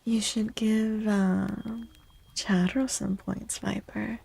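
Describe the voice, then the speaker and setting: A woman from the United States chatting softly and casually in an ASMR style, with a gentle, encouraging manner, as a streamer talking to viewers. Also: medium pitch, little pitch movement, takes it slowly, gravelly, husky, poor audio. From United States, born to Mexican parents. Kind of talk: soft-spoken ASMR casual chat. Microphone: close, dry microphone.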